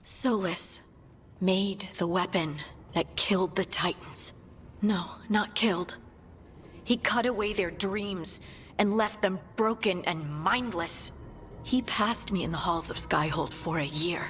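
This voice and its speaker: A low female game character voice, an earnest scout carrying old hurt. She speaks gravely and with bitterness, over faint music. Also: slow pace, a bit bright. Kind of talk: video game cutscene acting. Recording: music behind the voice.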